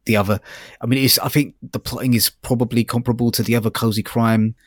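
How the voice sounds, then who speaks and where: A man's voice: medium pitch, steady tone, quick pace, no echo, studio quality. A man co-hosting a review podcast, speaking casually and tentatively as he searches for his words. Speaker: podcast co-host reviewing audiobooks.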